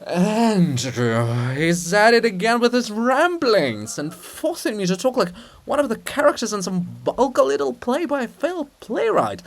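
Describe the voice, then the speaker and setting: A reader as an exasperated princess with swooping pitch. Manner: melodramatic, complaining, imperious. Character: exasperated, haughty princess statue, long-suffering and scolding. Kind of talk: dramatic stage reading of a farce.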